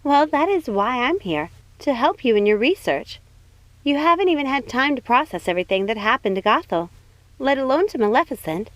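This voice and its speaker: A woman voicing a gentle, sweet young woman in an audiobook, speaking in an earnest, reassuring manner. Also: high voice, sing-song, a little fast, no echo, voice pushed up, poor audio. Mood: eager, earnest. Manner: soft-hearted, earnest, reassuring. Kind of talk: audiobook character voice.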